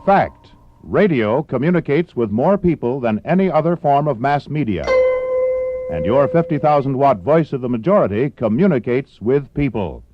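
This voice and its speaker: A man's voice: medium pitch, lively intonation, normal speed, very noisy. A man announcing a scripted 1960s radio station promo. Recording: dull 1960s AM radio recording. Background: loud music.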